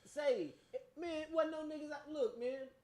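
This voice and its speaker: A man's voice: extremely high pitch, unhurried. A man with a Texas drawl talking casually on a podcast, often repeating and restarting his words and trailing off. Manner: animated, repeats himself and restarts, trailing off quietly. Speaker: podcast co-host. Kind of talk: casual chat.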